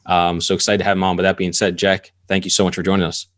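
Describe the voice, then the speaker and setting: Male podcast host with a very low voice who talks fast, casual and upbeat. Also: completely flat delivery. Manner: upbeat, quick, conversational.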